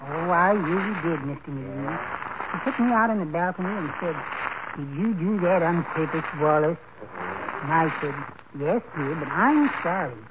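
An actor playing a meek, mild henpecked husband with a prim, giggly, timid way of talking in a 1940s radio comedy. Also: male voice, a little high, lively intonation, moderate pace, lightly acted. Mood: meek, gleeful. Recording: very noisy muffled 1940s radio recording.

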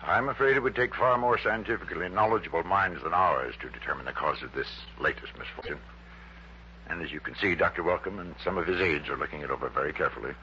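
A man's voice: deep voice, fast talker, pushed and bright, a little hiss or hum. An actor playing a proud, grandiose man running a secret project in a 1960s radio drama, speaking formally and with pompous emphasis. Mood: grave. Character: proud, grandiose male head of a rocket-fuel project. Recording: dull, humming early-1960s radio recording.

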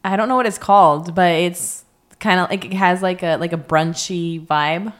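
A young woman whose voice sits a little low for a woman. She chats casually as a podcast co-host, teasing and asking playful questions. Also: steady tone, moderate pace. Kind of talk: casual chat.

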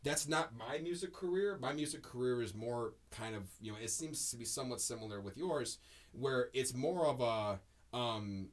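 A man's voice: mid-range voice, animated, normal speed. Rambling male podcast host. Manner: rambling, heavy on fillers and restarts, emphatic storytelling. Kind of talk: casual podcast chat.

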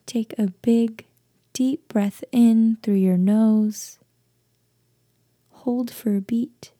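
Breathy, hushed woman guiding a slow meditation. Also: a little high, fairly even intonation, no room sound, clean studio sound. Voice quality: soft, breathy, half-whispered. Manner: gentle, hushed, deliberate with long pauses. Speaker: meditation guide. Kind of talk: guided meditation, slow soothing instruction.

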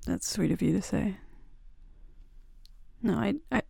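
A woman playing a gentle, touchy, vulnerable bad-girl roommate in an intimate ASMR roleplay, speaking softly and hesitantly into a close mic. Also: mid-range voice, vocal fry, slightly rough, no echo, heavily acted. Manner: soft, hesitant, halting confiding talk. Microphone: close, dry microphone.

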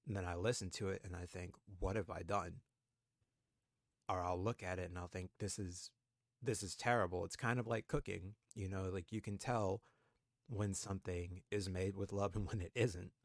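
Low gravelly man, dry and close. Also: fairly even intonation, moderate pace, no echo. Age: 20s. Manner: reflective, thinks aloud with fillers. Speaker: podcast co-host. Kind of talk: casual conversation.

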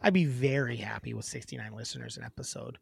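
A woman's voice: rumbling low, fast talker, vocal fry, breathy edge, dry recording. A young woman co-hosting a podcast, blunt and crude, teasing her co-host in casual chat.

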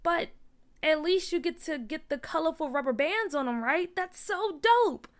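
A woman's voice: high-pitched, lively intonation, normal speed, bright, forceful tone. A woman voicing a sassy, supportive young schoolgirl in a storybook, chatty, upbeat and slangy. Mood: encouraging.

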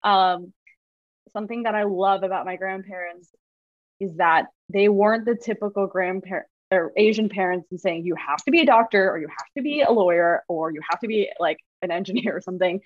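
A woman's voice: lowish voice, no echo. A woman talking as a podcast guest, thoughtful and earnest, often restarting her sentences. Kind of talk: reflective conversational chat. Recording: dull, dry sound.

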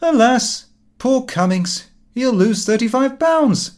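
Man playing a brash, high-pitched young son. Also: lively intonation, slow pace, heavily acted. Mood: mocking. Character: brash, excitable young son, flippant and irritable. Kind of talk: audiobook character voice.

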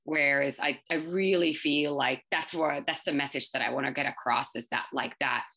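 A woman in her thirties, English not her first language, giving quick, chatty interview answers full of fillers and restarts. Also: extremely low pitch, vocal fry, bright, forceful tone. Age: 30s. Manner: fast, filler-heavy, many restarts. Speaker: startup founder.

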